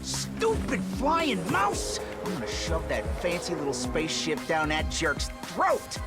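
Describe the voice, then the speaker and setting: Game brawler, teenage turtle hothead: gruff, sarcastic, lively swings, music underneath. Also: male voice, a little high, measured pace, heavily acted. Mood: angry.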